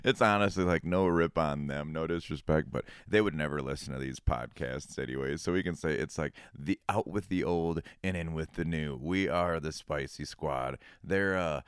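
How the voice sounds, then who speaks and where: A man's voice: very deep voice, normal speed, few pauses, clear, resonant tone, dry recording, clean studio sound. A man in his thirties co-hosting a podcast, talking in a laid-back, rambling way. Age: 30s. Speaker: podcast co-host. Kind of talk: casual chat.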